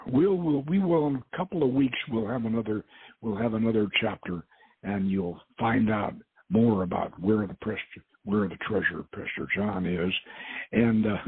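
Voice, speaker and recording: A man's voice: lowish voice, unhurried, slightly rough. A man hosting and reading aloud from an adventure story, stumbling and repeating words now and then. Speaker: host and author reading his novel. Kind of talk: dramatic reading of an adventure novel. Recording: muffled phone-like lo-fi audio.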